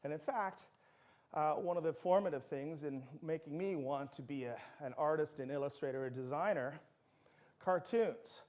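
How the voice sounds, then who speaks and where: A man's voice: on the high side, moderate pace, a little mellow. A middle-aged man, a native Dutch speaker, giving an enthusiastic university lecture full of anecdotes. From Netherlands.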